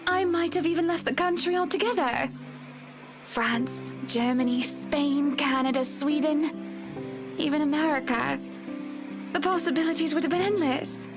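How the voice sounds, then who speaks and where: A high-pitched, polite young-sounding wife speaks in a reflective, wistful way. She is a visual novel character with a quiet discontent under her courtesy. Music plays clearly behind her. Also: unhurried. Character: wistful married woman, polite, quietly discontented new homeowner. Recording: game voice over background music.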